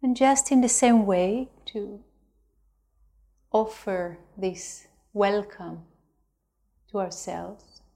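A woman teaching a guided meditation, speaking gently and unhurriedly with long pauses between phrases. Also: medium pitch, steady tone, creaky.

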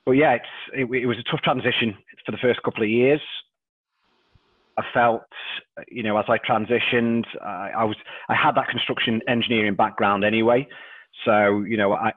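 A man in his thirties with a Liverpool accent, a podcast guest who talks haltingly and repeats words and fillers. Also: fairly low pitch, fairly even intonation, no room sound. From England. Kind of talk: casual interview chat. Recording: dry tinny phone-like line.

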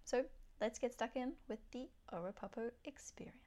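A woman hosting a podcast, reading a clear, upbeat scripted introduction. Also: a little high, moderate pace, darkish tone. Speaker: podcast host.

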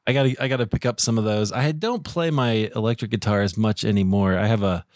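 A man's voice: lowish voice, fast talker, no echo. Laid back guy hosting a podcast, dry close mic, says yeah a lot. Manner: easygoing, short affirming replies. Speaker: podcast host. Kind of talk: casual interview.

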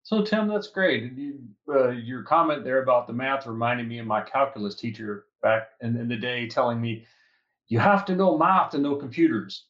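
A male host leads an interview with bright, scripted introductions and questions. His voice sits at a middle pitch for a man. The audio is a little dull and lo-fi. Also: moderate pace. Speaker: interview host, security advisor. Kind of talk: hosted interview.

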